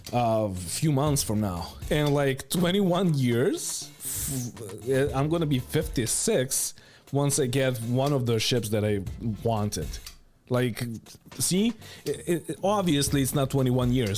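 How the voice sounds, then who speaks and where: A man's voice: mid-range voice, animated, slow pace, a little mellow, soft background music. A man in his thirties giving opinionated casual commentary in a blunt, emphatic way, close to the microphone. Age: 30s. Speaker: video commentator. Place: home studio room. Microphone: close boom microphone with headphones.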